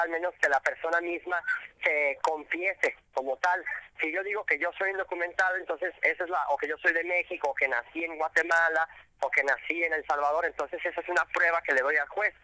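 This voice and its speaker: A man's voice: fairly high pitch, bright, forceful tone, no echo, rough recording. A man answering radio interview questions about immigrant detention, explaining step by step with frequent fillers and restarts. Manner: explanatory, halting with many fillers. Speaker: immigration rights guest.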